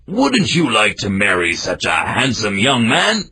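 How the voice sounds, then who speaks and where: A man's voice: on the low side, huge pitch swings, measured pace, pushed and bright, slightly rough, heavily acted. A man voicing a curious, proud king in a storybook reading, speaking in a stately, inquiring way.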